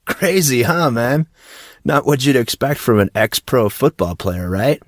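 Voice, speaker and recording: A man's voice: mid-range voice, very animated, unhurried, no echo, clean studio sound, voice pushed up. Man voicing an easygoing, joking teenage boy. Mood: amused. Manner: casual, slangy, teasing. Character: amused, easygoing teenage boy, joking high school friend.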